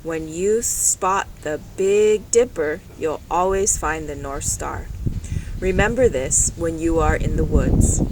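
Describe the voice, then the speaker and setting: Woman voicing a low, slow, solemn old grandfather. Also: background noise. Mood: wise, gentle. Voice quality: low, hushed. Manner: steady, reassuring, solemn instruction. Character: calm, wise old grandfather guiding a child.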